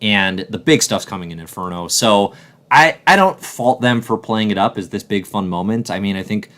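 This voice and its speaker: A man's voice: on the low side, expressive, normal speed, a bit bright. A man hosting a live show, chatting and commenting casually in an enthusiastic, emphatic way.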